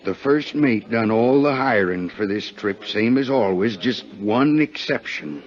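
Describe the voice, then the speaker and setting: An actor playing a frightened, hard-drinking seaman with rough, uneducated sailor's speech in a 1950s American radio drama. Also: mid-range voice, expressive, a little slow, close to an everyday voice. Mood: confiding, uneasy. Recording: muffled 1950s radio recording.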